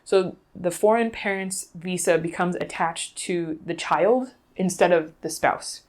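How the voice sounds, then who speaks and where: A woman being interviewed, recounting cases conversationally in a thoughtful, halting way. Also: lowish voice. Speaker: interview guest.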